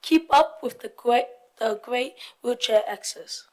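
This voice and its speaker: A woman's voice: a little high, a little slow. A young woman from Fiji reading out her part of a prepared group presentation. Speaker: youth forum presenter.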